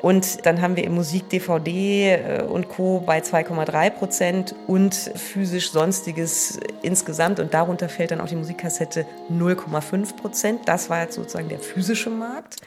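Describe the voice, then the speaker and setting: A woman's voice: on the low side, steady tone, normal speed, few pauses, music in the background. A woman speaking as an industry spokesperson in an interview, explaining things in a factual, precise way.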